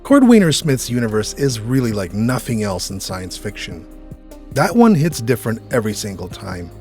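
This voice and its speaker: A man's voice: lowish voice, sing-song, normal speed, a little mellow, soft background music. A man hosting with an enthusiastic scripted presenter style. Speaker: host. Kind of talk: scripted upbeat introduction.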